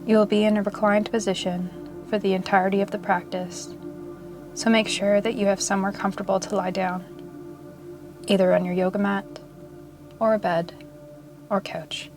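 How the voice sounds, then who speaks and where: A woman leading a guided yoga meditation in a soothing, measured way with long pauses. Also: medium pitch, level tone. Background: meditation music.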